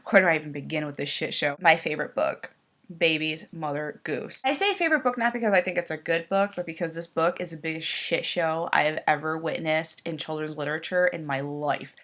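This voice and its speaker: A woman's voice: low voice, a little fast, fairly bright tone. A woman with a head cold, sniffling as she mocks a children's book in a sarcastic, profane casual commentary. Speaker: YouTuber.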